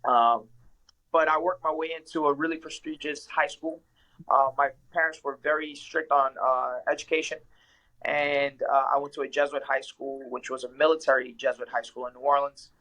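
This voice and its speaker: Bright-voiced young man, earnest and reflective. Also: mid-range voice, steady tone, moderate pace, rough recording. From Ecuador. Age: young adult. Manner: earnest, reflective, many fillers. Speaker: guest, professional mixed martial arts fighter. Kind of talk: casual interview answers.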